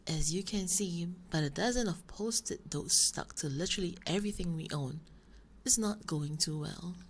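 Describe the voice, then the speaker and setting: A woman playing a flustered, shy romance novelist roommate in an ASMR roleplay, hesitant, soft-spoken and rambling as she justifies herself. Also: on the low side, creaky. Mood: exasperated rambling. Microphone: binaural ASMR microphone. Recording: faint noise, binaural microphone.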